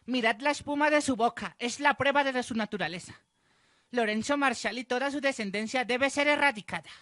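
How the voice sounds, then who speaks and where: A woman's voice: high-pitched, brisk, a bit bright, dry recording, clean studio sound. A woman playing a fanatical accuser in a witch-burning mob, declaiming in a radio drama. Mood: zealous, condemning.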